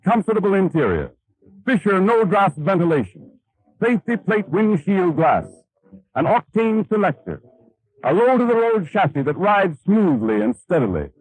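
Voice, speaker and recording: A man's voice: high voice, no echo, rough recording. An American man reading a scripted 1930s radio advertisement with an emphatic, polished sales manner. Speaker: radio announcer. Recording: 1930s radio broadcast, dry.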